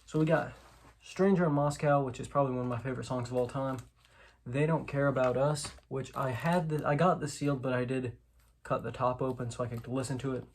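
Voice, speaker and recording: A man's voice: mid-range voice, steady tone, brisk. A man with a mid-range voice talks through a collection in a casual, unscripted vlog style. He is informal, often hedging or correcting himself. The recording has noticeable noise, with clicks and clatter behind the voice. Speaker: host, collector showing their collection.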